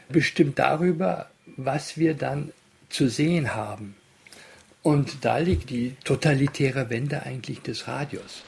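Very raspy, creaky man explaining slowly. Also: mid-range voice. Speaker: interviewed media scholar. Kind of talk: unscripted explanatory interview answer.

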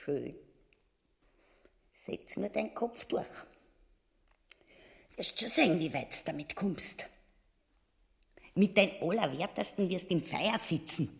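A woman's voice: fairly low pitch, expressive, slow pace, vocal fry, pauses often, full character voice. An actress playing a shrewd, nagging queen and wife in a grotesque radio drama, speaking coarsely with an Austrian accent. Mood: sulky, warning.

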